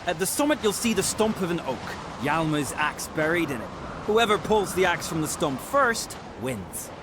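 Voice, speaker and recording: A hearty boisterous warrior, highish lively voice, loud score. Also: male voice, normal speed, background noise. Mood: eager. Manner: eager, hearty. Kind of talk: video game cutscene acting. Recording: game cutscene with loud score. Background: loud orchestral music.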